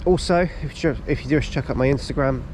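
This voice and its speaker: A man's voice: medium pitch, fast, a little mellow. A man with a stuffy, blocked-up voice from a cold, chatting casually as he vlogs from railway station platforms, with lots of ums and restarts. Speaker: railway vlogger. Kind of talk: casual vlog narration. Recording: outdoor noise on camera mic.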